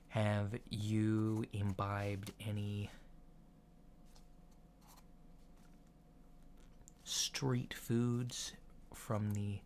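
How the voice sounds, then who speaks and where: A man playing a polite, curious alien doctor in a soft-spoken ASMR roleplay, talking in a halting, matter-of-fact way. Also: fairly low pitch. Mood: mild, attentive. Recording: lo-fi with clear background noise.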